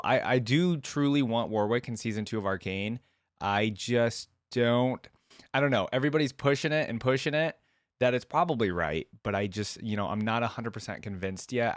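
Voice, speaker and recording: A man's voice: medium pitch, no room sound, clean studio sound. A man hosting a reaction video, giving casual commentary and musing aloud in a conversational way.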